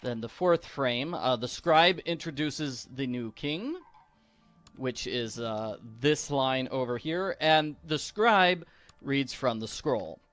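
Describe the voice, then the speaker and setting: A man's voice: medium pitch, takes it slowly, a bit bright, no echo. A man giving a casual, playful commentary as he walks through a video game. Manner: playful, jokey. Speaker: video game walkthrough host. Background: faint game music.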